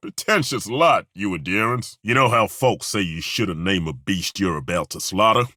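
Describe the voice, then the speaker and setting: An actor playing a gruff, folksy middle-aged male dwarf fighter with a dry, wry, plainspoken way of talking, in video game voice acting. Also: sits low, expressive, normal speed, pushed and bright, dry recording, exaggerated voice. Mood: dry banter. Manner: dry, wry and plainspoken, with roaring battle cries. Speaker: party companion.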